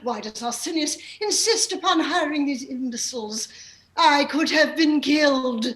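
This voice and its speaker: A woman's voice: high voice, normal speed, a bit bright, reverberant. An actress playing a haughty, scornful English aristocratic lady with an imperious manner in a comic radio play. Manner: imperious, snooty.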